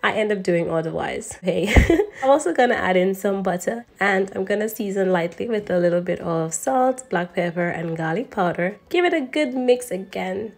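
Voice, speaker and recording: A woman narrating her own cooking video in a voice-over, chatting directly with her viewers as she walks through each step. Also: medium pitch. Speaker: cooking channel host.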